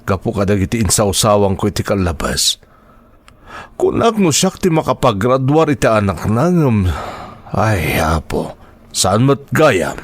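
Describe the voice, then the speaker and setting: A man acting as a disheartened, apologetic middle-aged uncle in an Ilocano radio drama, with a Filipino accent and halting, heartfelt delivery. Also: on the low side, big pitch swings, no echo. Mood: remorseful, humble. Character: regretful, disheartened uncle of a graduate, humbled and apologetic.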